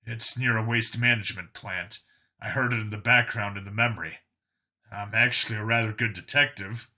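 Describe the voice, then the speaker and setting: A man voicing a dry, matter-of-fact detective in an audio story, speaking in a deadpan way. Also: fairly low pitch, normal speed. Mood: dry, resolute. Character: Vincent, dry, matter-of-fact male detective, hard to kill.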